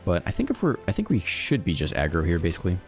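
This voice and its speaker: Relaxed male game host, low voice with a lively swing, talking through each play over faint music. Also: fast. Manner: thinks aloud, relaxed and chatty. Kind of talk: casual live gameplay commentary.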